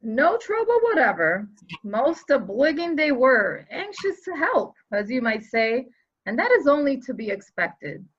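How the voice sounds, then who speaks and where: A woman's voice: sits high, very animated, a little slow, breathy edge, heavily acted. A woman playing a dour, opinionated subordinate police officer with a folksy way of talking, in a dramatic reading of a murder mystery. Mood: deferential. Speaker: actor in a library's dramatic reading. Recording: slightly dull, lo-fi audio.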